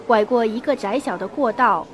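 A woman's voice: a little high, normal speed, noisy background. A woman reading scripted narration for a radio drama as its storyteller.

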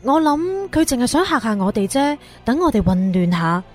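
A plucky young woman from a radio drama, sweet and a little flustered, acted by an actress. Her high voice rises and dips animatedly over faint music. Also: very animated, a little fast. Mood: reassuring. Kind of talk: Cantonese radio drama acting.

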